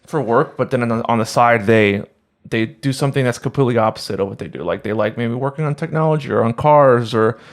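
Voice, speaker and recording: A man co-hosting a podcast, chatting casually in halting sentences with restarts and fillers. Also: medium pitch. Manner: halting, restarts, many "like"s and "you know"s. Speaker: podcast co-host. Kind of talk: casual chat.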